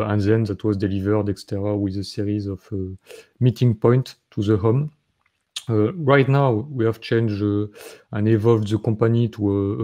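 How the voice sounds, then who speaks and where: A man in his thirties from France with a French accent, giving a recorded conference talk from a room at home in a halting way full of fillers and restarts. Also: fairly low pitch, mellow. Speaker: conference speaker.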